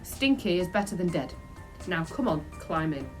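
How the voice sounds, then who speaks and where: A woman's voice: on the low side, big pitch swings, a little mellow, soft background music. Woman voicing a bossy, doting old nanny. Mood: coaxing, pleased. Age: older. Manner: brisk, bossy, coaxing. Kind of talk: children's storybook character voice.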